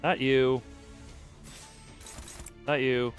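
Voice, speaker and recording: A man's voice: mid-range voice, level tone, extremely slow, muffled recording. A man doing casual livestream game commentary, thinking aloud in a rambling way and often trailing off mid-sentence. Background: game music.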